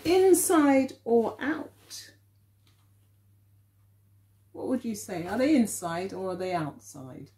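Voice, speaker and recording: A middle-aged woman reads a picture book to little children in the manner of an early years teacher. Her tone is mellow and her pitch moves up and down a lot. She takes her time, shaping each question clearly for young listeners. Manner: expressive, deliberate, questioning delivery for small children. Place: living room at home, indoors.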